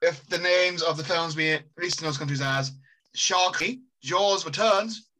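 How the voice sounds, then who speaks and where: A man's voice: a little high, unhurried, poor audio. A man co-hosting a casual film podcast, reading out trivia. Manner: relaxed, amused, reads out trivia facts. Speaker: podcast co-host. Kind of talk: casual chat.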